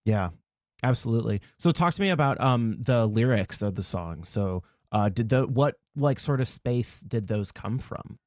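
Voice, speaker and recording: A man's voice: medium pitch, lively intonation, moderate pace, a little mellow, no room sound, studio quality. A young man hosting an interview, asking questions and recalling stories in a chatty, friendly way full of fillers. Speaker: interviewer host. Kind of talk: casual interview conversation.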